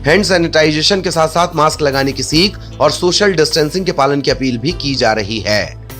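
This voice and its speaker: A man's voice: fairly high pitch, animated, normal speed, fairly bright tone, faint music behind. A man narrating scripted news features as a voice-over.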